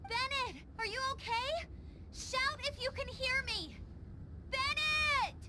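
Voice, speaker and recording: A woman voicing a caring, energetic young female adventurer in an anime-style game, girlish and earnest. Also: very high-pitched, slow pace, noisy background. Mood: concerned, urgent. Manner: earnest, encouraging.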